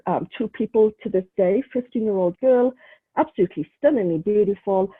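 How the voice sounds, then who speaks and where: A woman's voice: medium pitch, brisk, slightly rough. A middle-aged woman, a retired nurse, speaking earnestly and emphatically as an interview guest, often repeating words for stress. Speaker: guest, retired nurse and mental health advocate. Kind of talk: casual interview talk. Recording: tinny phone-like remote call audio.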